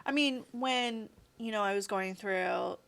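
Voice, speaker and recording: A woman's voice: medium pitch, unhurried, creaky, a bit bright. Chatty woman in her thirties. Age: 30s. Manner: chatty, rambling, fills with "like" and "you know". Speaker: guest. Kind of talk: casual interview chat.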